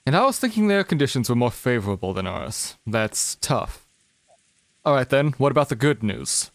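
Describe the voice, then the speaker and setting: A man's voice: medium pitch, very animated, moderate pace, no echo. Breezy, confident businessman, read by a man, dry close mic. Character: smooth, cocky middle-aged businessman. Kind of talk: fan livedub of a visual novel.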